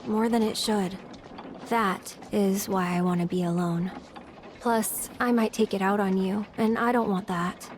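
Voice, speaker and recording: A woman's voice: medium pitch, steady tone, a little slow, slight background noise, close to an everyday voice. An actress playing a candid, sensitive young woman in a video game, speaking conversationally. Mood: hurt, candid. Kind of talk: video game character acting.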